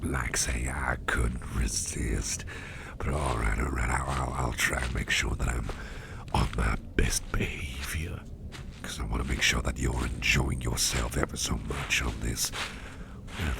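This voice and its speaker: A man playing a mischievous businessman boss in an intimate ASMR roleplay, murmuring in a halting, gravelly half-whisper. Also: rumbling low, heavy background noise, heavily acted. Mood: mischievous, amused. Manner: slow, halting, repeating phrases, softly chuckling. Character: mischievous, toothy businessman boss, affectionate and covert.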